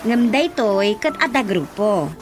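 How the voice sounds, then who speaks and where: A woman's voice: medium pitch, very animated, slow pace. A woman acting as an eager, respectful daughter who leads a village group, in a radio drama with an Ilocano accent. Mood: earnest, respectful. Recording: radio drama with music behind.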